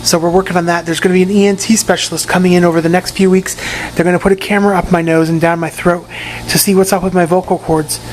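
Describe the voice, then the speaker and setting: A man with a hoarse, raspy voice giving a casual video update from his room in a rehab facility, often repeating and restarting his words. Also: high voice, level tone, fast, a little hiss or hum. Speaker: patient giving a recovery update.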